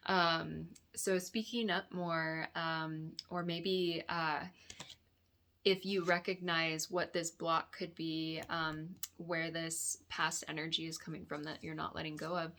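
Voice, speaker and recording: A woman with a raspy, dry-throated voice giving a weekly tarot forecast, offering reflective, meandering guidance to her viewers. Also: low-pitched, level tone, slow pace, pushed and bright. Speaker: tarot reader and yoga therapist.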